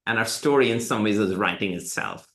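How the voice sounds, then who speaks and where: Animated Hindi-speaking CEO in an echoey room. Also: male voice, lowish voice, brisk. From India. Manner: animated storytelling, quotes others in lively voices. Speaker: tech company CEO, guest. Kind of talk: live webinar interview.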